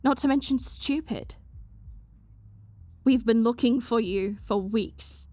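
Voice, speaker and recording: Lady doing a bossy but caring young woman, fairly high voice, scolds a lot, audio drama. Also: takes it slowly, mellow, no room sound. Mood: scolding. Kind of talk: fan audio roleplay.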